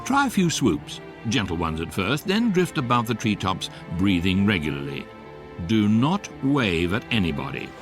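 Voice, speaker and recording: An actor voicing a wry electronic guidebook, narrating a comic science fiction radio drama in a measured, deadpan way. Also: male voice, fairly low pitch, music in the background. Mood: wry, instructive.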